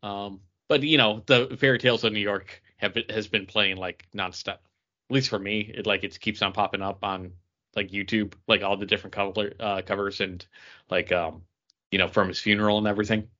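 A man with a fairly low voice chats casually as a podcast host. The sound is a little dull and lo-fi. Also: sharp tone. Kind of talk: casual chat. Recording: slightly dull, lo-fi recording.

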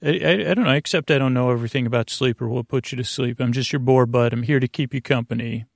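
A man with a gravelly, creaky voice hosts a sleep podcast. He rambles in a halting way, repeating himself and wandering into digressions. The sound is very dry, with no room around it. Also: mid-range voice, dry recording. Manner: halting, repetitive, digressive, stammering restarts. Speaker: podcast host. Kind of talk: rambling, meandering bedtime podcast monologue.

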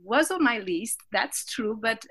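A woman with a French accent, a guest on a podcast, speaking in halting English with restarts and frequent laughs. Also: a little high, expressive, a bit bright, slightly rough. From Switzerland. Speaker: podcast guest, physics teacher and business coach. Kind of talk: casual interview chat.

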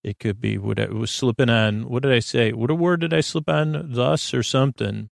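A man hosting a bedtime podcast in a creaky, slightly rough-edged voice, meandering and halting as he gently soothes the listener. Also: medium pitch, dry recording, clean studio sound. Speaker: podcast host. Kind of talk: rambling bedtime podcast monologue.

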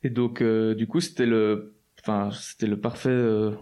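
A man's voice: fairly low pitch, little pitch movement, normal speed, warm tone, clear, resonant tone. A man, chatting casually as an interview guest, loose and slangy with lots of fillers and restarts. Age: 20s. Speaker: guest musician.

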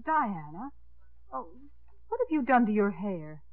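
A woman's voice: mid-range voice, sing-song, a little slow, dry recording. An actress playing a refined widowed mother, fluttery, polite and hesitant, in a 1950s radio drama. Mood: airy. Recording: noisy, narrow 1950s radio broadcast.

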